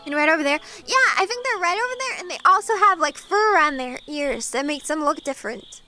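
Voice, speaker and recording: High, bright, giggly woman streamer. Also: lively intonation, a little fast, soft background music. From eastern Washington, USA. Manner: bubbly and giggly, gasps and laughs often. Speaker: VTuber streamer. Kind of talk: casual chat with viewers, reading comments.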